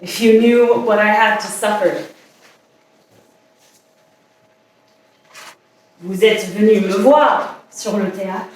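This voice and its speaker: A woman's voice: mid-range voice, close to an everyday voice. Distant, echoing actress as an anguished, lovesick woman. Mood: reproachful. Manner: dramatic, halting, incantatory delivery with repetitions. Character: lovesick woman consumed by dangerous obsession, anguished, insistent. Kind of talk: theatrical staged reading, poetic monologue. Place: staged reading in a reverberant indoor space. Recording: very echoey room, far from mic.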